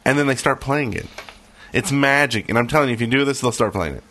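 Bright-voiced, wry casual man. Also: mid-range voice, quick pace, slight background noise. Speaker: podcast co-host. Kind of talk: casual gaming podcast chat.

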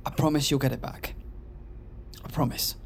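A man's voice: mid-range voice, fairly even intonation, normal speed, gravelly, background noise, close to an everyday voice. An actor voices a down-on-his-luck gambler, a man pleading in an audio drama. He sounds anxious and desperate, over faint background ambience.

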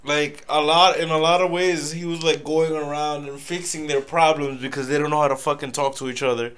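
A young man co-hosting a casual podcast chat in a laid-back, agreeable way, often trailing off into low mumbles. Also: steady tone, a little fast, fairly bright tone, clean tone. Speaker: podcast co-host.

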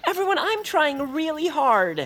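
Bright, high, flustered little bird director. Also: female voice, very animated, normal speed. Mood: exasperated. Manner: exasperated, pleading, fussy. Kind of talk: audio fiction comedy acting.

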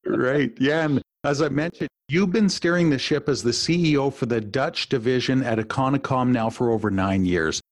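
A man's voice: mid-range voice, normal speed, hardly any pauses, dry recording. Man hosting a podcast interview, dry. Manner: enthusiastic, warm-worded, long wind-up questions. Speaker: podcast host and executive coach.

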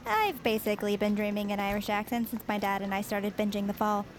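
A woman's voice: medium pitch, fairly quick, slight background noise, lightly acted. An actress playing a joking, casual teenage girl, a teasing friend, in naturalistic audio drama. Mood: playful.

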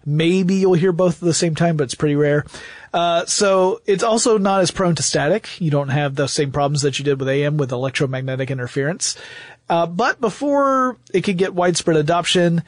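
High-pitched man telling history, dry sound. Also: fairly quick, no echo. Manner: storytelling, emphatic repetition. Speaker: podcast co-host. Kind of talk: conversational history explainer.

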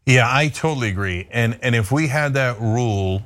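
A man's voice: mid-range voice, moderate pace, a bit bright. A male host from Turkey with a mid-range voice questions his guest directly. He states opinions bluntly before handing the floor over. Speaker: show host. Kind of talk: interviewing a guest.

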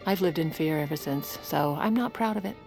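An actress playing a sardonic, steely, scheming woman in an audio drama, speaking in a dry, cutting, deliberate way. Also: deep voice, vocal fry, a little mellow, faint music behind. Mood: wry, cold. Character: sardonic, steely woman scheming against her family's accusers. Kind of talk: audio fiction drama acting.

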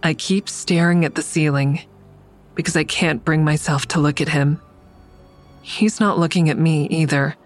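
A woman's voice: low voice, steady tone, moderate pace, soft background music, lightly acted. A woman playing a guilt-ridden, weary heroine who narrates her own story in an audio drama, blunt and subdued. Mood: somber, confessional. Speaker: narrator and lead actor. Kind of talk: audio drama first-person narration and dialogue.

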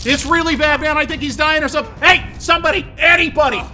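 An actor playing an excitable, plain-spoken job seeker with a blunt, casual, sweary manner in an audio drama. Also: male voice, very high voice, fast talker, slight background noise, full character voice. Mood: panicked, frantic. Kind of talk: naturalistic audio drama acting.

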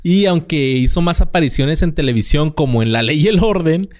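A man's voice: a little high, lively intonation, brisk, a little mellow. Laid-back Mexican man, slangy banter. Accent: Mexican Spanish. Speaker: podcast co-host. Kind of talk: casual chat.

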